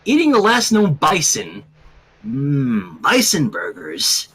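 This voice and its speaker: A man voicing a brash, excitable young female cartoon character who exclaims bluntly. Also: a little high, slow, husky, pitched below the performer's own voice, exaggerated voice, poor audio. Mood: amused.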